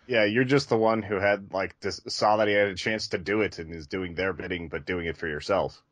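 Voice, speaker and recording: A man's voice: deep voice, fairly even intonation, fairly quick, no room sound. A man co-hosting a podcast, talking casually about films. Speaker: podcast co-host reviewing films. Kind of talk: casual film discussion.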